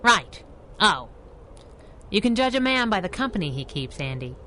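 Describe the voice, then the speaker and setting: Bright, sassy masked heroine. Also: female voice, mid-range voice, very animated, normal speed, a little hiss or hum. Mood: teasing, cool. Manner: wisecracking, teasing, knowing. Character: sassy masked heroine, teasing, brash, streetwise young woman. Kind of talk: 1930s radio adventure serial acting.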